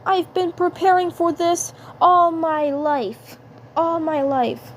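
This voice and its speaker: A woman voicing a determined, defiant boy investigator in a cartoon story, repeating phrases haltingly. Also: very high voice, pitched above the performer's own voice. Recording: clear background noise.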